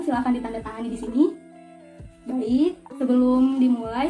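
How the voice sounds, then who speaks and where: A woman's voice: high voice, warm tone. A young woman giving an instructional demonstration in an orderly, polite, step-by-step manner. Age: young adult. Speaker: dental student demonstrating a radiography procedure.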